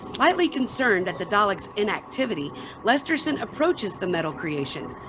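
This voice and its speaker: Female narrator, radio play stage directions. Medium pitch, scripted read. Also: very animated, moderate pace, background noise.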